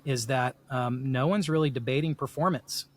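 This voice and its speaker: A man's voice: mid-range voice, moderate pace, a little hiss or hum. A middle-aged man from South Texas, talking through ideas in a rambling, reflective way full of "you know" fillers on a podcast. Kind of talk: casual podcast discussion.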